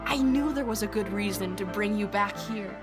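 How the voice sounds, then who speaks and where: A woman's voice: mid-range voice, moderate pace, music in the background, close to an everyday voice. A woman playing a playful, defiant young boy adventurer in an audio drama, with a blunt, childlike manner. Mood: wistful.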